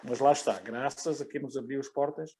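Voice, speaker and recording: A man with a European Portuguese accent giving his view as a football guest, halting and repeating words as he argues. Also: mid-range voice, a little mellow, slight background noise. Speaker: football guest, former player. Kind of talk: casual panel discussion.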